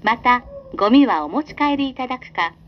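A woman's voice: on the high side, animated, normal speed. A woman giving a scripted train announcement in a polite, formal, carefully enunciated manner. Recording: dull lo-fi audio with train noise. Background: train running noise.

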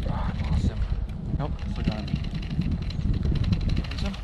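Man in his forties, lowish voice, outdoor fishing commentary that turns excited, heavy wind roar on a body camera. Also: slow. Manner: excited outbursts when fish bite. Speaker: fishing vlogger. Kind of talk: casual running commentary while ice fishing. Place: outdoors on a frozen pond in strong wind. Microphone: body-worn action camera. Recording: loud wind noise on the mic.

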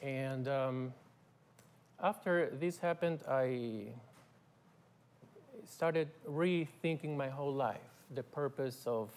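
A middle-aged man from Argentina, a guest musician, talking in a halting, casual way with fillers and restarts in a TV studio on a lapel mic. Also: mid-range voice, a little mellow, smooth. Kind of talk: casual interview talk. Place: TV studio set.

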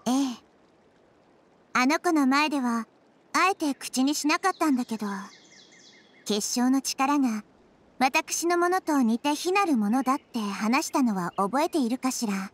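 High-pitched, gentle little girl deity. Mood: thoughtful, serious. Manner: soft, composed, feminine phrasing with measured delivery. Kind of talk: anime game dialogue acting.

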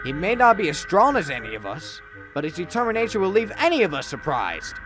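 High-voiced earnest engine, a man doing it, lively storybook delivery. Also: brisk, rough recording. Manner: earnest, admiring.

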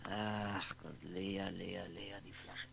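Lonely man brooding in gravelly voice. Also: deep voice, steady tone, very noisy, exaggerated voice. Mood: drowsy, wistful. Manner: halting, musing, repeats words, trails off. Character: lonely drinking man, wistful, sardonic, brooding over memories. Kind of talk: 1960s German radio play monologue.

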